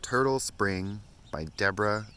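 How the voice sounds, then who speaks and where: Low-voiced man reading a children's story. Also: unhurried, sharp tone, slight background noise. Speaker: story reader. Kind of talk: children's picture book read-aloud.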